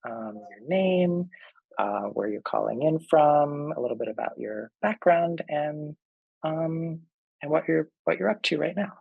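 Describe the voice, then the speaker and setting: Gravelly-voiced man moderating a video panel. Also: medium pitch, a little mellow, dry recording, poor audio. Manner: friendly, loose, with many fillers. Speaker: panel moderator, associate professor. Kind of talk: online panel hosting. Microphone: video call.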